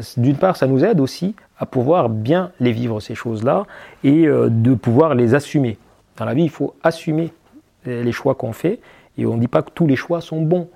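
A middle-aged man and former soldier answering interview questions about his book in an earnest, measured way, with frequent hesitations. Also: mid-range voice, creaky, warm tone.

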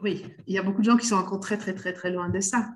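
A woman's voice: medium pitch, fairly even intonation, fast talker. A middle-aged woman from the Netherlands, by mother tongue, speaking French as a podcast guest, searching for words with many restarts. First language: Dutch. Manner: hesitant, many restarts and fillers. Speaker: guest, doula of the inner child. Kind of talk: casual podcast conversation.

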